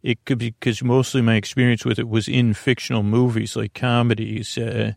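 A gravelly, rambling male podcast host. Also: little pitch movement, moderate pace, darkish tone, no room sound, studio quality. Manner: meandering, full of hesitations and self-corrections; low-key, easygoing. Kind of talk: rambling bedtime podcast monologue.

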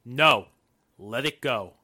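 A man playing a sharp, commanding hero in a 1940s style radio thriller, giving terse orders. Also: medium pitch, slow, heavily acted. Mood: suspicious. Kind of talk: 1940s radio drama acting.

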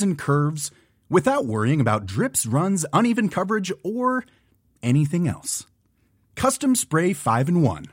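Man, raised pitch, husky, scripted commercial voice-over, dry studio sound. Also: no room sound. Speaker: advertisement voice-over. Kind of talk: scripted ad read.

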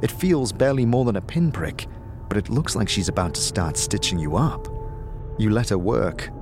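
A man's voice: on the low side, lively intonation, normal speed. A man narrating a scripted fantasy audio drama in the manner of a storyteller. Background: music.

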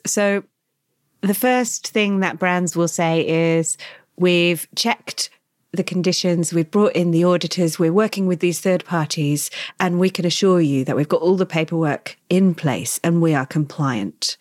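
Probing woman host, lowish voice. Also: unhurried, no echo, studio quality. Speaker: interview host. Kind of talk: interview questions.

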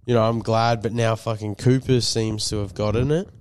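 A man's voice: fairly low pitch, steady tone, normal speed, a little mellow, clear, resonant tone, dry recording, studio quality. A man co-hosting a podcast, a bit nasal from a cold, rambling with lots of fillers and swearing. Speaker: podcast co-host. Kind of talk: casual chat.

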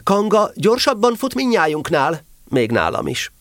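High-pitched, pompous, animated rabbit. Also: male voice, no echo, clean studio sound. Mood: officious, proud. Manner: pompous, officious, throat-clearing. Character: pompous, bossy, self-important rabbit organiser. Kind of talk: children's audio play.

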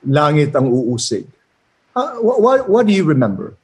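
A man asks casual follow-up questions in a raspy, gravelly voice. His pitch sits fairly high and moves around a lot, and he jumps in with quick interjections. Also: sing-song, a little mellow. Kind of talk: conversational interviewing.

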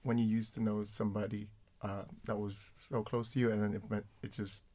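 A man's voice: fairly low pitch, little pitch movement, a little fast, warm tone. A songwriter from the Philippines, hesitant and restarting as he explains in an interview. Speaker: songwriter, band member. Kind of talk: casual interview chat.

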